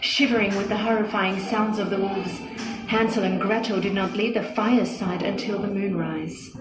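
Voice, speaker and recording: A woman narrating a scripted children's story in an expressive, dramatic manner. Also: mid-range voice, normal speed. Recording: echoey with music behind.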